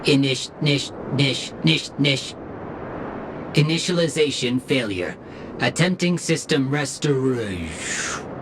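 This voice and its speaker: A man's voice: medium pitch, expressive, slow, background noise. A man playing a malfunctioning robot in a game, with procedural, mechanical phrasing. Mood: glitching. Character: broken malfunctioning robot stuck on its start-up protocol. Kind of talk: scripted game cutscene dialogue.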